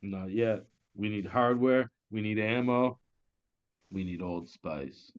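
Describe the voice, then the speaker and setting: A man's voice: on the low side, slow pace. A man playing a surly, foul-mouthed getaway driver in a comedy table read, on dull lo-fi audio. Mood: terse.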